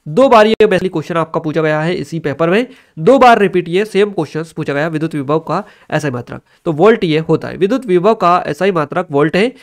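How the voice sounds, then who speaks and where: A man giving an explanatory exam-review lecture as a teacher, instructive and repeating key points for emphasis. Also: high-pitched.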